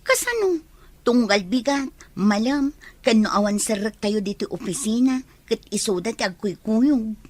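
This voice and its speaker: A woman's voice: a little high, animated, moderate pace, a little mellow, dry recording. A woman with a Filipino accent playing an evasive, lovelorn young woman in an Ilocano audio drama. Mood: uneasy.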